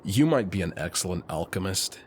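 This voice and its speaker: A man playing a suave, teasing, flirtatious military officer and alchemist in an intimate roleplay audio, speaking smoothly. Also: sits low, lively intonation, darkish tone, slight background noise. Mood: teasing, admiring. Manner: smooth, unhurried, playfully teasing.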